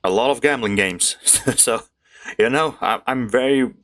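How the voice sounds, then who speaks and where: A woman's voice: a bass voice, measured pace, fairly bright tone. A woman doing casual live game commentary with slangy, informal talk, sometimes reading text off the screen. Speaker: gaming streamer playing a story game. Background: game music.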